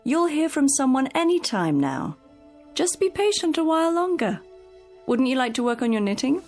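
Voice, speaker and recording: A practical, kindly woman housekeeper in a radio drama. She speaks in a soothing, coaxing way with very lively pitch, over faint music. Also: normal speed. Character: kindly, patient, practical female daily housekeeper.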